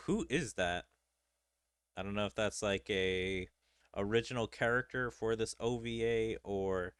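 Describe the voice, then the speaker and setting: A man's voice: on the low side, fairly bright tone, smooth, no echo. A man giving casual let's-play commentary, musing and thinking aloud as he goes. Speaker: gaming video creator. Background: game music.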